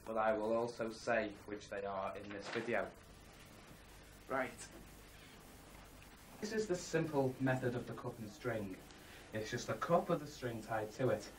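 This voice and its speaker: A man giving a rambling, half-scripted amateur presentation, halting and matter-of-fact with blunt asides. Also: medium pitch. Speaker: presenter of a homemade design project video. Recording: distant mic, faint background noise, amateur home video.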